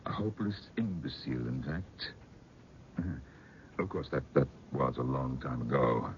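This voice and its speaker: An actor playing a haunted older man, telling ghost tales in a halting, dramatic old-time radio style with muttered pauses. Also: very deep voice, expressive, full character voice. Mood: hushed, uneasy. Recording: muffled phone-like radio recording, faint noise.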